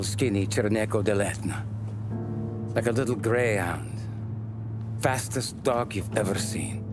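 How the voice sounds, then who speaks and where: An actor playing an older, measured and paternal mafia consigliere who lectures deliberately in a video game. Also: male voice, lowish voice, close to an everyday voice. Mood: wistful, measured. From Sicily. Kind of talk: video game cutscene acting.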